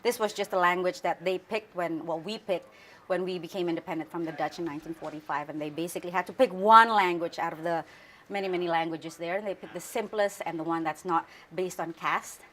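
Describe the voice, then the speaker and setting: A woman's voice: fairly low pitch, brisk, a bit bright, a little hiss or hum. A woman in her forties from Central Java, whose first language is Javanese, speaking as an interview guest in a thoughtful way with fillers and restarts. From Central Java, Indonesia. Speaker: interview guest, news anchor. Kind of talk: conversational interview.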